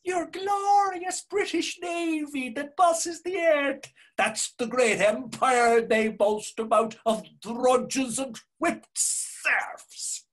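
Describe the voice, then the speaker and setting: An actor with an Irish accent playing a fiery nationalist Dublin drinker who rants in a husky voice, read over a video call. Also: male voice, very high-pitched, steady tone, slow pace, few pauses, fairly bright tone, full character voice. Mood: ranting. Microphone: video call webcam.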